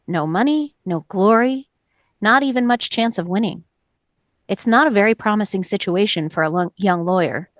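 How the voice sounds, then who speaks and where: Woman playing a calm, reasoning juror, dry sound. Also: mid-range voice, no echo, natural voice. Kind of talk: dramatic script table read.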